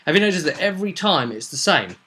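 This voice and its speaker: A man's voice: fairly high pitch, very animated, fast talker, pushed and bright, slightly rough. A man speaking as a game master, recapping a roleplay session in a casual, rambling, digressive and jokey way. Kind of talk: casual rambling vidcast storytelling.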